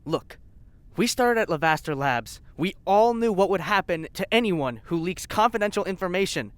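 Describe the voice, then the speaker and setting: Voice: medium pitch, expressive, moderate pace, no room sound, lightly acted. An actor playing a zealous, spiteful scientist in an audio drama, bitter, sarcastic and insistent. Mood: bitter, fervent. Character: zealous, spiteful lab scientist named Colin. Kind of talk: scripted audio drama acting.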